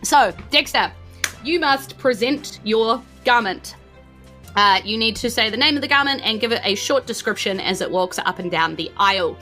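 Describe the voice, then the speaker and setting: A woman's voice: on the high side, expressive, normal speed, bright, forceful tone, soft background music. A woman, informally narrating a tabletop game as game master. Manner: informal, colloquial.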